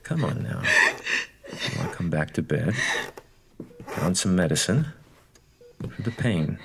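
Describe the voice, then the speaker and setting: A creepy male captor played by a man in a horror radio play, speaking very slowly with false concern. His low voice swings widely, sweet and menacing. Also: big pitch swings, gravelly, husky, exaggerated voice. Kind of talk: horror audio drama acting.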